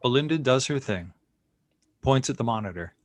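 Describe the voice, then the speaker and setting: A man's voice: mid-range voice, expressive, normal speed, dry recording. A man reading out scene headings and stage directions as narrator of a screenplay.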